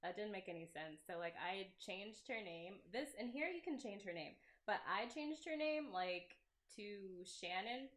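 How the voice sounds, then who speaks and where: A woman's voice: medium pitch, expressive, measured pace, hardly any pauses, fairly bright tone. A young woman giving casual, rambling and self-deprecating commentary as she plays through a game, with a giggly manner. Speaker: game developer hosting a playthrough. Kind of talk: casual let's play commentary. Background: game music.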